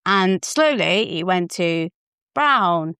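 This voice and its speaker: A woman chatting casually as a podcast co-host, telling things in an animated, conversational way. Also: fairly low pitch, slow, clear, resonant tone, no room sound, studio quality.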